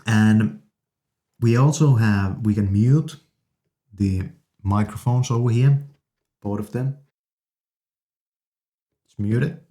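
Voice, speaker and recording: A man gives an informal gear demonstration in a lowish voice. His delivery is slow and halting, arriving in short pieces as he presents each feature. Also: dark tone. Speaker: presenter reviewing an audio interface.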